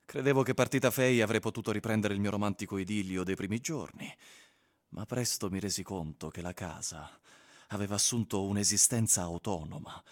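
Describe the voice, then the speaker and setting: An actor playing an embittered husband, giving a measured, brooding first-person monologue in a radio drama. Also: male voice, on the low side, lightly acted. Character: embittered abandoned husband recounting his haunted house. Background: music.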